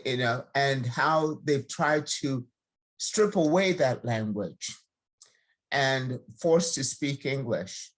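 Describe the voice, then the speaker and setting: A woman from Nigeria, a county judge, speaking slowly and haltingly with many fillers on a video call. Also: a bass voice. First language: a Nigerian language. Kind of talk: virtual panel remarks.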